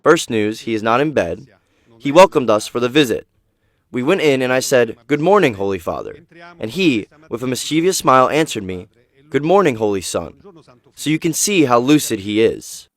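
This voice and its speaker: A man's voice: medium pitch, very animated, moderate pace. A man gives a formal spoken account, recounting and explaining in complete sentences.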